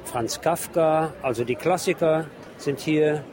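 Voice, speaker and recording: A man's voice: fairly high pitch, measured pace. A man working as a radio reporter, giving an unscripted spoken field report as he describes what he sees and reads out titles. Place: outdoors on a busy city street among book stalls. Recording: busy outdoor street crowd noise. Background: street crowd.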